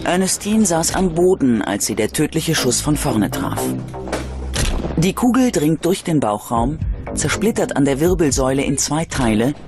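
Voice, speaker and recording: A man's voice: a little high, very animated, normal speed. A man reads scripted true-crime documentary narration as the narrator. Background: prominent background music.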